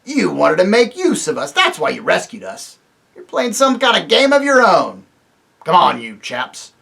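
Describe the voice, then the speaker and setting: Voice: medium pitch, huge pitch swings, moderate pace, creaky, a bit bright, pitched above the performer's own voice, exaggerated voice. A man voicing a surly, sneering male dwarf, blunt and jeering, in a storybook reading. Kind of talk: audiobook reading.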